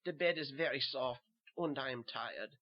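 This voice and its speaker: A man's voice: mid-range voice, normal speed, muffled recording, close to an everyday voice. A man playing a weary, haunted German soldier who narrates a horror tale in simple short sentences, with a German accent. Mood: subdued. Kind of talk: first-person horror audio narration.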